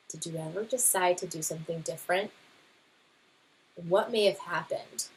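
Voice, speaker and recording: A woman narrating a children's read-aloud, talking directly to young listeners with expressive questions and pauses. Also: medium pitch, rough recording.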